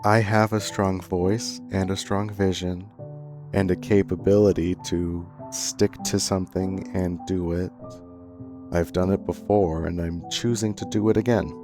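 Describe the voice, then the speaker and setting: A young man talking to his followers in an earnest, thoughtful storytime monologue, pausing and restarting as he searches for words. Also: low-pitched, level tone. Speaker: content creator. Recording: faint background music.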